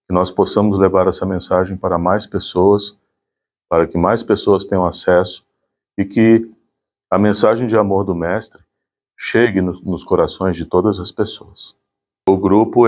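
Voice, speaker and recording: A man's voice: low-pitched, darkish tone. A man gives an informal explanation. He speaks as a volunteer presenting community work.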